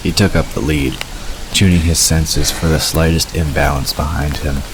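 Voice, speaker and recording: Really deep male narrator reading a story, noisy hissy background. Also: steady tone, normal speed. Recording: noisy, rain-like hiss behind.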